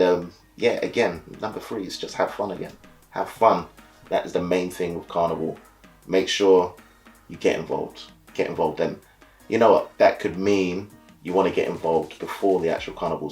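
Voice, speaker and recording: A man born and raised in the UK who leads a carnival band, explaining carnival in a relaxed, easygoing way, often saying "you know" and "so yeah". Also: low-pitched. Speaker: carnival band leader and soca DJ. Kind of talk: casual explainer talk to camera.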